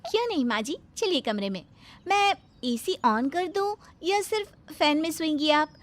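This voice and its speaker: Woman doing a shrill sweet young daughter-in-law. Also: animated, normal speed. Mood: eager. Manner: sweet, literal-minded, high and singsong. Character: eager overly obedient young daughter-in-law.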